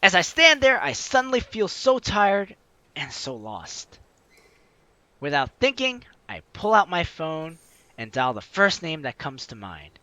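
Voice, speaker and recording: A man's voice: fairly high pitch, huge pitch swings, measured pace, pushed and bright, no room sound. A man hosting a let's play, expressively reading a story game's text aloud and reacting with enthusiasm. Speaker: gaming video host.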